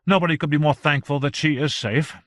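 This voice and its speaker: A man voicing a troubled gentleman visitor who speaks earnestly and solemnly, in a storybook reading. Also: fairly high pitch, brisk, no echo, pitched above the performer's own voice, close to an everyday voice.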